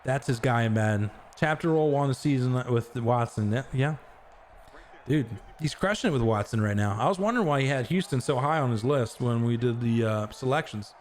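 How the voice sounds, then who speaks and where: Male stream host, casual play-by-play, mid-pitched voice, excitable on big plays, faint noise behind. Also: moderate pace. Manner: excitable, jumps to high shouts on big plays; chats with viewers between plays. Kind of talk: live game-stream commentary.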